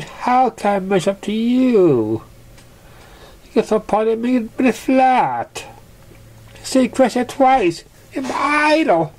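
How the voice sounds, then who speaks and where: A storybook reader voicing an excitable, insecure female pegasus pony with a dramatic, exclamatory, grumbling manner. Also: medium pitch, voice pushed up, natural voice.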